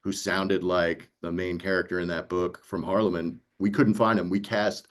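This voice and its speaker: A man's voice: sits low, little pitch movement, moderate pace. A man from New York, an audiobook producer, chatting casually and telling stories by acting out bits of dialogue. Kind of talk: casual panel conversation.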